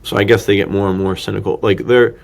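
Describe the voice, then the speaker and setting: Gravelly, low-voiced man chatting casually. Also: level tone, fast. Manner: rambling, thinking aloud, slangy. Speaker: podcast co-host. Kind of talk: casual film discussion.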